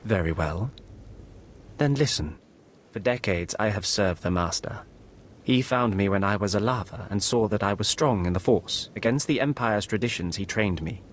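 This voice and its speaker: A man's voice: on the low side, normal speed, slight background noise, lightly acted. Man voicing a solemn alien Sith beast lord. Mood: stern, threatening. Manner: formal, menacing, stilted. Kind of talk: video game voice acting.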